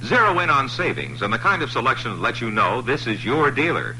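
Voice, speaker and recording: A man reading a radio car commercial in a bright, punchy salesman delivery. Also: medium pitch, very animated, brisk, rough recording. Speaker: ad announcer. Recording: faintly hissy 1960s radio commercial.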